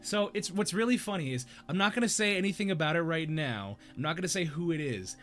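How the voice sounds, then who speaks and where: A man's voice: on the high side, lively intonation, fast talker, a bit bright. A young man hosting a casual livestream, rambling and self-deprecating in an upbeat way. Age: young adult. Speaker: stream host.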